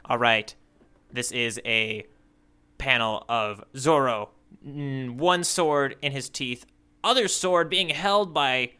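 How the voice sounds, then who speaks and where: Bright, forceful male podcast co-host. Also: medium pitch, slow, smooth. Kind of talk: casual comic podcast chat.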